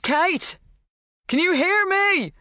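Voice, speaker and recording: A woman voicing a frantic, anxious young husband in an audiobook, sharp and pleading. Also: deep voice, level tone, fast, no echo, studio quality. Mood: frantic shouting.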